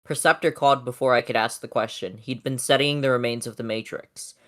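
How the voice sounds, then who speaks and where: A person voicing a solemn robot war leader in grave, measured, reflective comic-dub narration. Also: mid-range voice, steady tone, a bit bright, natural voice.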